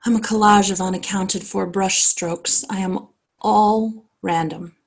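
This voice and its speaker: A cultured, wealthy society wife, played by a woman, speaks in an impassioned theatre monologue. Her voice is husky and creaky. She is intense and reflective, weighing her words with deliberate pauses. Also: mid-range voice, fairly even intonation. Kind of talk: dramatic stage monologue. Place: online video call.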